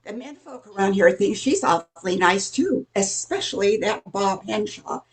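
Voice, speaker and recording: A woman's voice: mid-range voice, lively intonation, normal speed, lightly acted. An older woman playing a nosy middle-aged gossip in an amateur radio play, chatty and insinuating. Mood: sly, gossipy.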